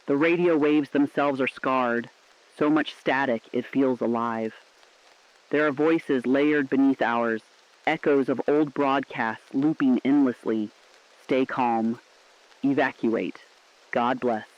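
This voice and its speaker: A man's voice: mid-range voice, steady tone, slow, dull audio, close to an everyday voice. A man playing a mournful but defiant last radio broadcaster, speaking solemn, earnest, poetic lines in a scripted drama. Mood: mournful, haunted.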